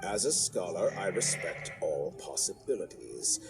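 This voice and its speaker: A theatrical, low-voiced arrogant villain scholar. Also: male voice, sing-song, gravelly, exaggerated voice. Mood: smug intrigue. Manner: theatrical, measured, condescending. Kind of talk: dramatic video game voice acting. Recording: music under the voice.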